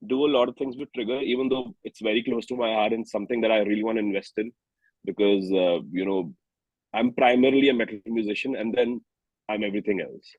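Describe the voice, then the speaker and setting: A man's voice: lowish voice, fairly even intonation, quick pace, a little mellow, dry recording, muffled recording. A man in his thirties with an Indian accent, chatting casually as a musician being interviewed. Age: 30s. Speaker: singer-songwriter and musician interview guest.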